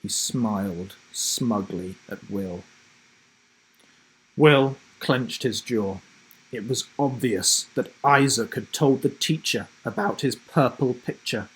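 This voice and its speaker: Guy with a bit of husky voice talking to kids on a livestream, reading his story, deliberate phrasing. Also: medium pitch, rough recording. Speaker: author reading own story on live broadcast. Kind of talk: friendly instructional chat and storybook reading for children.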